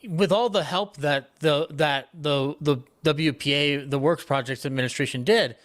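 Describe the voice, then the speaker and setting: A man's voice: a little high, moderate pace, a bit bright. A man on a discussion panel, talking informally about history and building his points emphatically with repeated phrases.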